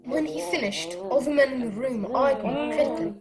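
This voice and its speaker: A woman's voice: high-pitched, lively intonation, a little fast, a crowd in the background. A woman playing an earnest, respectful boy who narrates his adventure in a radio drama. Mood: grave recounting. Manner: earnest, careful storytelling.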